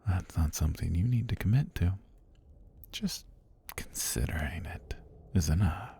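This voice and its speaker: A man performs an ancient male serpent god with a low, dark voice. He speaks in formal, whispery phrases that rise and fall, intimate as ASMR. He is calm and tender, with a predatory edge. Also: full character voice. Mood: wistful, gentle. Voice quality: husky, breathy low voice. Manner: slow, deliberate, formal speech with whispery delivery. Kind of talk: intimate ASMR roleplay monologue.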